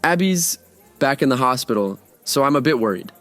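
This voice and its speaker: A man in his twenties playing an easygoing young art student who talks in a casual, joking way, over soft music. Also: medium pitch, animated, normal speed, natural voice. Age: 20s. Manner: casual, joking and laid-back. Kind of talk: audio drama acting.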